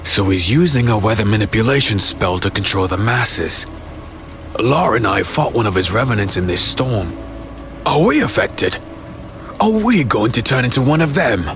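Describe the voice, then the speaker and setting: A man playing a skeptical, defiant hero in a dark fantasy audio drama, intense and incredulous. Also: fairly low pitch, animated, normal speed, over music. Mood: troubled.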